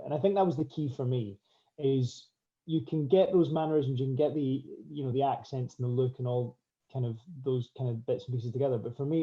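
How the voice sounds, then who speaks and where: A man, an actor, answering questions thoughtfully and haltingly as he restarts his phrases. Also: mid-range voice, warm tone, highs cut off.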